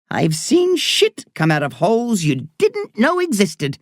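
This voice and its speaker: A reader voicing a blunt but kind woman doctor with an Irish accent, earthy and warm in her talk. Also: mid-range voice, dry recording, clean studio sound, pitched above the performer's own voice. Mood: earnest. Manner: salty, earthy talk said with warmth. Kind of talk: audiobook character reading.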